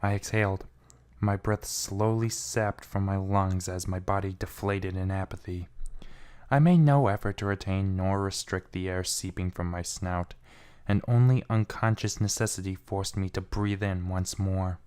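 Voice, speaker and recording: A man's voice: low voice, fairly even intonation, moderate pace, a little mellow, clean tone. A man giving a brooding, theatrical first-person reading of a fan fiction story. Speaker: amateur fan fiction reader.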